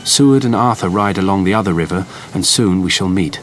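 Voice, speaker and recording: A man's voice: low-pitched, a little fast, a little hiss or hum, natural voice. An actor playing an earnest, determined young Victorian husband, narrating his diary in a formal, urgent manner for an audio drama. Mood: urgent, anxious.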